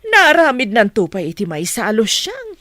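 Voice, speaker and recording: A woman's voice: fairly high pitch, wildly swooping pitch, moderate pace, sharp tone, no echo, clean studio sound, heavily acted. A woman playing a scheming, coaxing middle-aged mother in a Filipino radio drama. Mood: sly, pleased.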